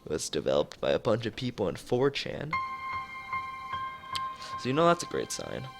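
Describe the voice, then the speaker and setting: A man chatting casually while streaming a visual novel, hesitant and halting, with game music behind him. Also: on the low side, huge pitch swings, vocal fry. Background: visual novel background music.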